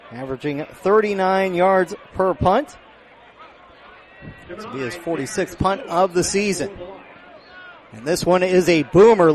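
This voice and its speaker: An American man doing live radio play-by-play of a football game, projecting his voice and getting excited on big plays. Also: high voice. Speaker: play-by-play announcer. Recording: live outdoor stadium broadcast, background noise.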